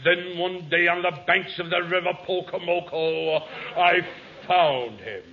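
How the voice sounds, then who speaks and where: A husky, melodramatic ragged convict. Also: male voice, high voice, steady tone, a little slow. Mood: brooding, dramatic. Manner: florid, theatrical, formal diction. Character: raggedy, melodramatic vagrant convict telling his tragic life story. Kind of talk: 1940s radio comedy acting. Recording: muffled old radio broadcast recording.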